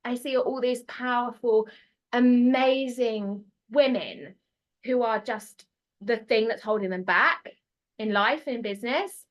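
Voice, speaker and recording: Bubbly British woman, rather high voice. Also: highs cut off. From United Kingdom. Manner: bubbly, quick, with frequent fillers and laughs. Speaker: coach, podcast guest. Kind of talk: casual podcast interview.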